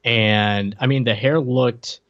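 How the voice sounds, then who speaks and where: A man co-hosting a podcast, chatting casually with halting, repetitive, slangy phrasing. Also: mid-range voice, steady tone, a bit bright, no room sound, dull audio. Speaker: podcast co-host. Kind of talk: casual chat about a reality TV show.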